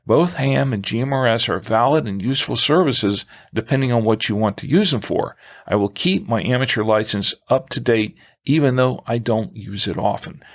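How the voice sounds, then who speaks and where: A man hosts a conversational podcast in a medium-pitched voice. His delivery halts and pauses often as he moves through listener mail and show business. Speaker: podcast co-host.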